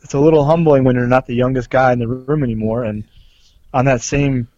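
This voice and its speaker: Guy on a podcast talking slow and earnest, lots of ums, muffled lo-fi mic, dry. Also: mid-range voice, fairly even intonation, dark tone, no room sound. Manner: earnest, explains in detail with many ums. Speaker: podcast co-host, police officer. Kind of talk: casual chat.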